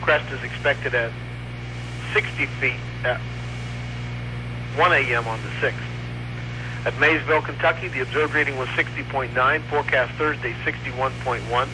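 A man's voice: mid-range voice, fairly even intonation, moderate pace, long pauses. A man reading out scripted river forecast figures as a weather radio announcer. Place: radio broadcast, off air. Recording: noisy, humming 1990s weather radio broadcast.

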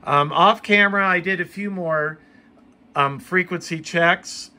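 A man with an American accent narrates a casual hobby how-to at home. His voice sits a little high and has a bright, forward edge. He talks plainly, as the host of a homemade video. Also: measured pace. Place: room at home.

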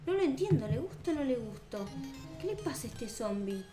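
A woman's voice: a little high, brisk, echoey room. A young woman with a Rioplatense Spanish accent, chatting expressively and asking questions as she roleplays through a video game. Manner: expressive, asks many questions. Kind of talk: improvised gameplay roleplay chat. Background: music.

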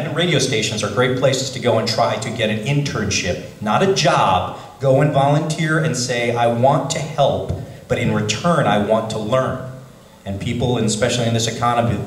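A man talking at a convention panel, telling his career story in a chatty, animated way. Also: medium pitch. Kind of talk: casual panel talk. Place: large convention hall. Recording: echoey hall, voice from far.

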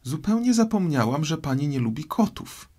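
A man puts on an earnest little girl from a storybook, high in pitch and full of lilting swings. She is polite and chatty, easily flustered and keen to smooth things over. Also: big pitch swings, normal speed, warm tone. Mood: flustered apology. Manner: earnest, apologetic, conciliatory. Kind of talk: storybook character voice.

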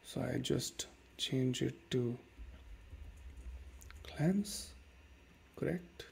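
A man in his thirties with an Indian accent, hosting a casual software tutorial at his desk and walking through each step with plenty of fillers. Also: medium pitch, drawn-out words, gravelly, breathy edge. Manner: relaxed, many fillers and "okay"s, explains step by step. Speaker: tutorial host. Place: dim room at home.

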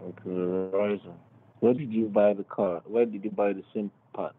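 A man's voice: lowish voice, steady tone, normal speed, slightly rough, narrow, tinny audio. A man calling as a scammer, insistently pressing for phones and purchases. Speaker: scam caller.

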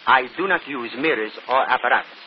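An actor playing a self-assured, mysterious stage magician in a formal, theatrical 1940s radio style. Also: male voice, mid-range voice, fast, natural voice. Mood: proud, formal. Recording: muffled, faintly noisy old radio broadcast.

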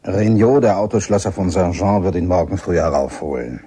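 A man's voice: low-pitched, fairly quick, mellow. An actor playing a decent, earnest, disbelieving man from a cave expedition in a radio play. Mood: disbelieving, troubled. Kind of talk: German radio play acting. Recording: clear background ambience noise.

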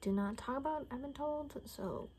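Young woman for improvised ASMR roleplay: soft, whispery, relaxed tone, rambling repetitive delivery, slightly noisy bedroom recording. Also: high-pitched. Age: young adult. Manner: hushed, unhurried, rambling, repeating words. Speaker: ASMR creator playing a caretaker. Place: small bedroom, indoors.

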